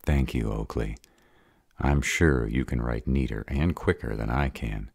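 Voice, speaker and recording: A man voicing a gruff, protective, short-tempered were-dragon in an audio roleplay, grumbling and sarcastic in a gravelly voice. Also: measured pace, darkish tone, dry recording, studio quality, heavily acted. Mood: resigned. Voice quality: deep, gravelly.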